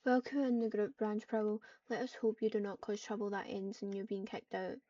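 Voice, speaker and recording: A woman's voice: mid-range voice, level tone, moderate pace, dark tone, natural voice. A woman voicing a polite, cautious and awkwardly formal cat medic in a game roleplay. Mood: formal, welcoming. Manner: stiffly formal, hesitant. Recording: dull, lo-fi, distant microphone.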